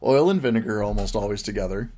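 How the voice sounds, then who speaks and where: A man's voice: on the low side, lively intonation, quick pace, dark tone. A man co-hosting a podcast, teasing his co-host dryly in casual chat.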